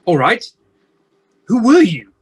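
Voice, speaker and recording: A man acts out a crotchety old miser for a radio play. The voice is high and husky for a man, and it jumps around in pitch. He is crabby and easily rattled. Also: huge pitch swings, takes it slowly, exaggerated voice. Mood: defiant. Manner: crotchety, stammering when frightened. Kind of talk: amateur radio play acting.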